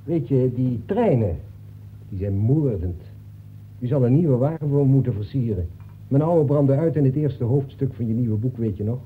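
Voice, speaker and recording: An actor in a Dutch radio play, playing a talkative, breezy detective from a novel who nags in a familiar way. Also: male voice, medium pitch, animated, normal speed, slight background noise, natural voice. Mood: chummy, chatty. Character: talkative fictional detective come to life, chummy, reproachful. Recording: muffled phone-like old radio recording.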